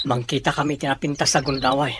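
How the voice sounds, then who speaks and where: A man's voice: mid-range voice, level tone, fast talker, close to an everyday voice. A man playing a determined, loyal young son in a drama, earnest and reassuring. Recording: clear noise with birdsong behind.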